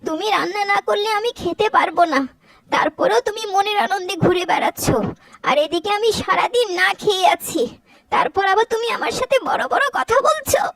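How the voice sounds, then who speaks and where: A woman acts out a haughty older cartoon mother-in-law. Her voice is very high and dry, with a cutting, indignant edge. She scolds and threatens with cruel authority. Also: animated, a little fast, vocal fry, a bit bright, no echo, exaggerated voice. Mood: scolding, outraged. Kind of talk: Bengali cartoon voice acting.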